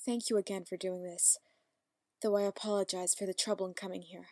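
A woman's voice: mid-range voice, flat delivery, a little fast, dark tone, no room sound, close to an everyday voice. A woman voicing a polite, formal, apologetic female pony in an amateur audio drama.

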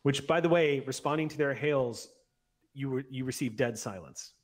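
A man in his forties running a tabletop roleplay session as game master, narrating descriptively with frequent pauses. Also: mid-range voice. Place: indoor room at home, on a video call. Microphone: large microphone up close on a boom.

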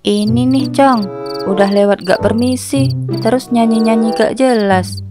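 A woman's voice: a little high, a little slow, loud music behind the voice, natural voice. Sassy female cartoon ghost acted by a woman. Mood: annoyed, scolding. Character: sassy, grumpy female long-haired ghost, flirty and vengeful. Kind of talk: comedic cartoon voice acting.

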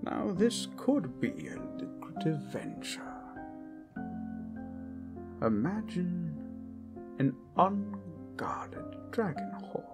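A man's voice: sits high, sing-song, drawn-out words, pauses often, exaggerated voice. A man playing a grumbling, scheming old wizard who muses theatrically over his orb in an ASMR fantasy roleplay, often slipping into whispers. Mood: scheming, intrigued. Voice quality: breathy, husky. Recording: music behind the voice.

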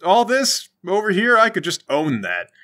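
A man's voice: high-pitched, huge pitch swings, normal speed, sharp tone. A man bantering casually and comically as a player in a tabletop game.

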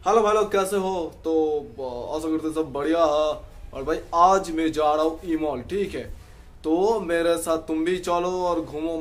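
A young man vlogging, talking casually to his viewers and often checking in with them. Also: fairly high pitch. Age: young adult. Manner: chatty, keeps asking "ठीक है?". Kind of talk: casual vlog talk to camera. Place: indoors, plain room, then walking through a mall.

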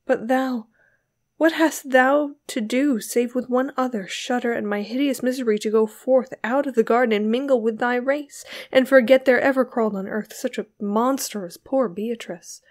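Audiobook role: woman narrator as gentle, heartbroken young heroine, higher voice, plaintive, pleading, old-fashioned diction. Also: normal speed, natural voice. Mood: grieving. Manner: plaintive, pleading, archaic phrasing. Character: Beatrice, tender, anguished, lonely young woman. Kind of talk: dramatic literary audiobook reading.

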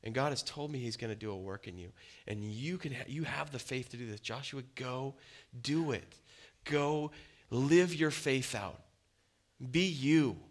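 A man in his thirties preaching a sermon in a casual, conversational and animated way, on stage in a dim church hall before a crowd. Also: mid-range voice, measured pace. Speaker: pastor.

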